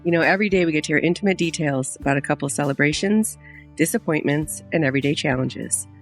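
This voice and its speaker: A woman's voice: sits low, quick pace, faint music behind. A woman co-hosting a podcast, introducing the show and asking guests curious questions. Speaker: podcast co-host.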